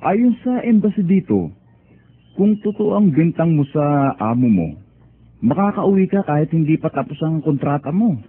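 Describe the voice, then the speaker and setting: A man's voice: a little high, animated, normal speed, breathy edge. A man playing a reassuring Filipino friend who patiently explains things in a radio drama. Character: reassuring Filipino male friend giving practical advice. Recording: thin phone-like radio sound.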